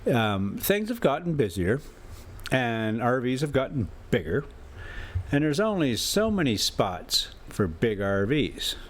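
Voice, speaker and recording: A man's voice: mid-range voice, very animated, measured pace. An American man with a folksy, informal way of talking, a vlogger who chats casually and reads stories aloud. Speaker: travel vlogger and storyteller. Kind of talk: casual rambling chat mixed with reading a classic novel aloud.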